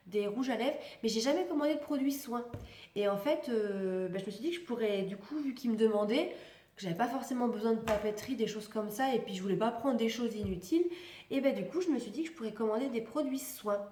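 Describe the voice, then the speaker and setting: A woman's voice: fairly high pitch, dark tone, echoey room. A young woman with a stuffy nose from a cold, chatting casually and rambling as she tests beauty products in a vlog. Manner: chatty, rambling, self-correcting, laughs often. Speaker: beauty vlogger.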